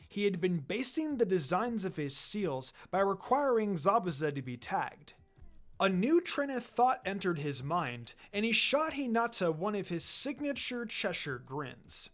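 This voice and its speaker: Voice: medium pitch, very animated, measured pace, a bit bright. A narrator reading fiction aloud in a dramatic, scripted storytelling style. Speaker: narrator, fan fiction reader.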